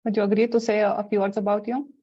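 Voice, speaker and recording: Mellow-voiced woman moderating a call. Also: medium pitch, level tone, very fast, lo-fi sound. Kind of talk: moderating an online roundtable. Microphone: video call.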